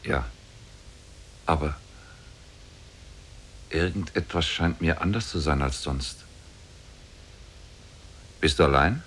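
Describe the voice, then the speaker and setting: A man's voice: very deep voice, slow pace, long pauses, a bit bright, a little hiss or hum, full character voice. An actor playing a mighty, solemn demon sorcerer in love with his captive, in a 1950s German radio drama. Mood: suspicious, grave. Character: mighty demon sorcerer, lovestruck captor of a woman. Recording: old 1950s radio play on cassette.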